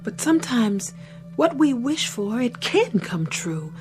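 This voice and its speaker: An actress playing a gentle, hopeful neighborhood mother in a radio drama. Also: on the high side, very animated, slow pace, music in the background, poor audio. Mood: hopeful, earnest.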